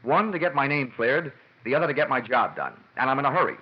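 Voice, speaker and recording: An actor playing a cocky, wisecracking insurance investigator in an old radio detective drama, with a sardonic, slangy delivery. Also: male voice, mid-range voice, big pitch swings, fast, breathy edge. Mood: urgent, wry. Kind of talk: 1940s-50s radio detective drama acting. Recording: dull vintage radio recording, music behind.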